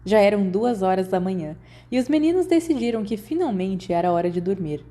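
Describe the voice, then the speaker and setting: A Brazilian woman narrates a story in a soft, mellow tone. Her voice sits at a middle pitch, and she reads scripted prose as an audiobook narrator. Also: very animated, a little fast.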